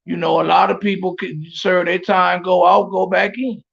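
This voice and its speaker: A man's voice: high-pitched, flat delivery, moderate pace, vocal fry, a bit bright, slightly rough, muffled recording. A man hosting a podcast, talking casually and rambling straight to his viewers over a phone stream. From Flint, Michigan. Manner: rambling, repeats words, speaks straight to his audience. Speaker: podcast host. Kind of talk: casual live address to viewers. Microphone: phone, streaming from afar.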